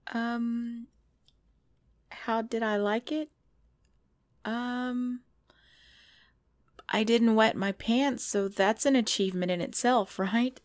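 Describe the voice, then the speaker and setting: A woman playing a cute, easily scared girlfriend in an intimate ASMR roleplay, pleading and whiny, often hushed. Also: a little high, steady tone, slow pace, pauses often. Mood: flustered, defensive. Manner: pleading, whiny, dropping into hushed self-talk. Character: cute, jumpy girlfriend frightened by scary movies. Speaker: roleplay audio performer.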